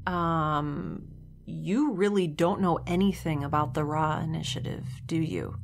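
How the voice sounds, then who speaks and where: A woman's voice: low-pitched, measured pace, vocal fry, faint music behind. A woman playing a blunt, naive young scientist with a sarcastic, matter-of-fact manner in a naturalistic audio drama. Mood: defensive, snarky.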